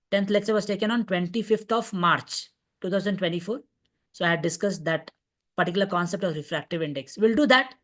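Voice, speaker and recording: A woman with an Indian accent lecturing as a physics teacher in an online revision class, repeating points and often checking understanding. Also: mid-range voice. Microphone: online video call class.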